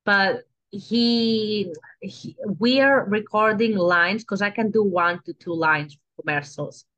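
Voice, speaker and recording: A woman's voice: medium pitch, slow, fairly bright tone. Greek accent actress lady, chatty, keeps restarting, kinda muffled lo-fi sound. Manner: chatty, restarts sentences, asks "you know?" often. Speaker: guest actress. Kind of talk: casual podcast chat.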